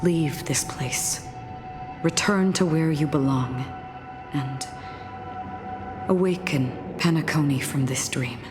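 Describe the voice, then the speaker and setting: A woman playing a calm, enigmatic guide of death in a game cutscene, speaking solemnly and softly with breathy murmurs. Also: low-pitched, over music. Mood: grave, resolute. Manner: solemn, measured, soft-spoken with breathy murmurs. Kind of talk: dramatic game cutscene acting.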